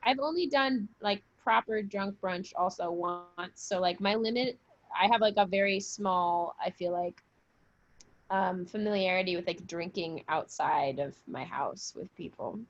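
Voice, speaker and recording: A woman's voice: mid-range voice, unhurried, dry recording. A woman talking casually and informally in a group conversation. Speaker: podcast cast member. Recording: dull lo-fi audio.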